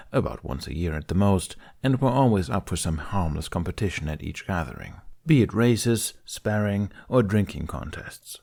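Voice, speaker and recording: A man's voice: deep voice, a little mellow. A man reading a story aloud as an audiobook narrator in a scripted, storytelling style.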